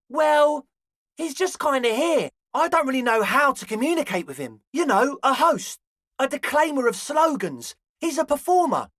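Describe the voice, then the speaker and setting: A man's voice: very high-pitched, fairly bright tone, dry recording, clean studio sound, full character voice. A male actor playing an eager, earnest young raver in a video game, mixing slacker slang with grandiose, slightly pompous phrasing. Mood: explaining. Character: balding twenty-year-old raver dreamer, eager, earnest, slightly pompous. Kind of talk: video game character dialogue.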